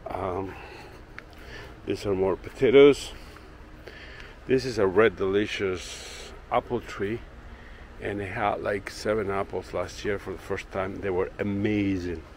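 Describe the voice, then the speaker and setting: A deep-voiced man ambles through his backyard, chatting loosely about his plants with plenty of "uh" and "like." He talks slowly into the camera he is holding. There is a faint hiss of outdoor ambience behind him. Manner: rambling, filler-heavy, relaxed. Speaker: woodworking channel owner. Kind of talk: casual walking tour vlog. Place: backyard garden outdoors, then indoor workshop. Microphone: handheld camera held by the speaker.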